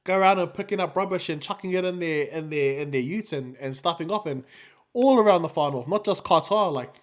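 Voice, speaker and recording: A man's voice: on the high side, fairly quick. A man with a New Zealand accent co-hosting a casual podcast, talking in a slangy, laid-back way and often repeating himself. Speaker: podcast co-host.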